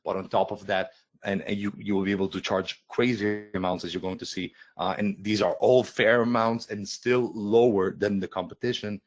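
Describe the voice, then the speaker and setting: A man hosting a webinar, pitching a product in loose, repetitive talk before handing over to a guest. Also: little pitch movement, normal speed. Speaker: webinar host.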